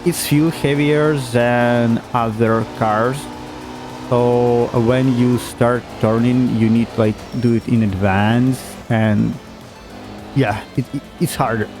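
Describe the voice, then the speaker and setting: A man commenting casually on a racing game at an unhurried pace, his voice sitting in a middle register. He hesitates often, restarts his sentences and chuckles now and then. Game music plays clearly behind him. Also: mid-range voice, steady tone. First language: not German. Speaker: gaming YouTuber. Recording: game music playing underneath.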